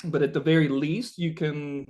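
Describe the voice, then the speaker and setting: Man, fairly high pitch, interviewed musician, casual, reflective, meandering philosophical delivery. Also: steady tone, moderate pace, a little mellow. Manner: rambling, philosophical, thinking aloud.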